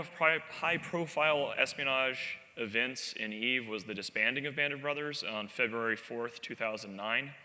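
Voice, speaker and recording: Bright, wry male conference speaker. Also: medium pitch. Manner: animated, wry storytelling with self-deprecating asides. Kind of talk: live conference presentation. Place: large convention hall stage. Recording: long reverb of a large hall.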